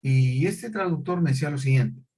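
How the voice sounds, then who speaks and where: A man's voice: on the high side, lively intonation, moderate pace, dark tone, slight background noise. A middle-aged male teacher, lecturing a class and often checking in with questions.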